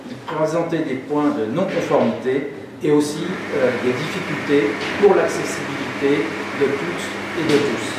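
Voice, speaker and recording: A man giving a formal prepared speech as an official, with measured, didactic phrasing. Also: fairly high pitch. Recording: very echoey hall, distant mic, noisy.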